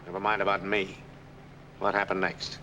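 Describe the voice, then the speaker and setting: A man's voice: deep voice, little pitch movement, moderate pace, pushed and bright, low-quality recording. An actor playing a brusque, skeptical American man in a 1940s film noir, matter-of-fact and wry. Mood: impatient. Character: brusque, skeptical married man, hard-nosed fact-finder. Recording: 1940s film soundtrack, faint background noise.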